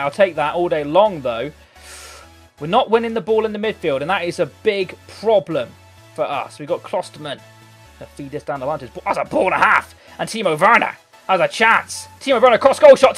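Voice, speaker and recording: A young man with a hoarse, fading voice, commentating on his own football game match from his bedroom, swinging from muttering to shouting. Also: high-pitched. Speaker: gaming commentator. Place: hot bedroom. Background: background music.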